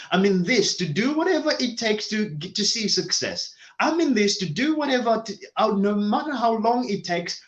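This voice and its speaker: A man giving a motivational talk, speaking emphatically and repeating his key phrases. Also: high voice.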